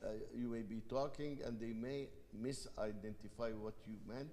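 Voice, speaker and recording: A man with an Egyptian accent leads a panel, pausing and restarting as he thinks aloud. His voice sits at a middle pitch and carries a long hall reverb. A faint hiss of background noise runs under it. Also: fairly even intonation, dark tone. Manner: thoughtful, halting, hesitant restarts. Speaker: panel moderator. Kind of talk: panel discussion. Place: university event hall.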